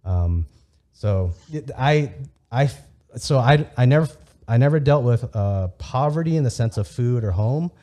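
A man's voice: mid-range voice, lively intonation, measured pace, mellow. A young man, a native Vietnamese speaker raised in the United States, talking candidly and heatedly in a casual podcast chat, with frequent swearing.

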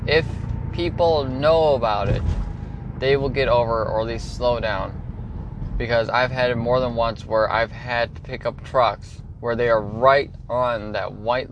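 A man with a stutter talks casually about safety on the road, repeating and restarting words as he goes. He is a tow truck driver and pleads earnestly with drivers. Loud traffic roars behind him outdoors. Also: mid-range voice. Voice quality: stutters, frequent repetitions and restarts. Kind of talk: casual vlog talk to camera. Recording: loud roadside traffic noise.

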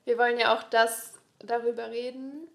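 A woman's voice: on the high side, flat delivery, normal speed, vocal fry, a crowd in the background. A young woman in casual podcast chat as co-host, informal and agreeable.

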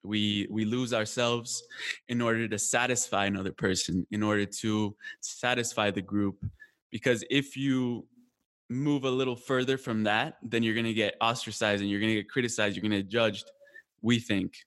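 A man's voice: lowish voice, steady tone, moderate pace, a bit bright. A young man from Colombia, a guest in a casual conversation, reflecting openly, often restarting his sentences. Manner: frequent restarts and fillers, laughs at himself.

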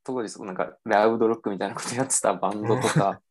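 Low-voiced man telling a casual story. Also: racing. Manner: relaxed, self-mocking, lots of fillers. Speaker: podcast host telling his story.

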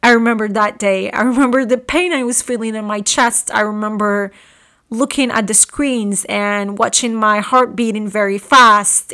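Earnest young woman, bright voice. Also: mid-range voice. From Morocco. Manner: earnest, halting, with restarts. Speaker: podcast host. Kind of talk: personal storytelling podcast. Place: room at home.

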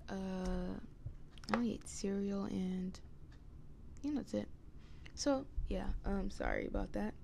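A woman's voice: medium pitch, big pitch swings, measured pace, vocal fry, pauses often, darkish tone. A young adult woman with a scratchy voice, chatting casually by herself in a hesitant, meandering way. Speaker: podcast host. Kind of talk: casual rambling solo chat. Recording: noticeable background noise.

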